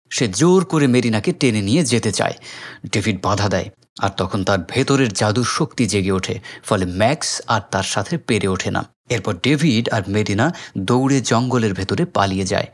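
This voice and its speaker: A man's voice: on the low side, normal speed. A man with a Bangladeshi accent narrates a film recap as scripted storytelling.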